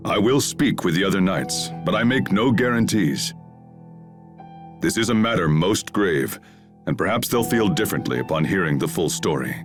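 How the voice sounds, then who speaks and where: A man playing a steady, authoritative middle-aged knight captain in a video game, formal and measured. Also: low-pitched, over music. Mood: grave, measured. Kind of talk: English video game voice acting.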